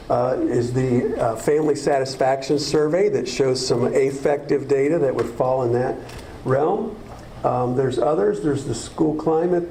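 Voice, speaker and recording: A man's voice: on the high side, mellow, slight background noise, poor audio. A man presenting district data to a school board, explaining at length with frequent fillers and restarts.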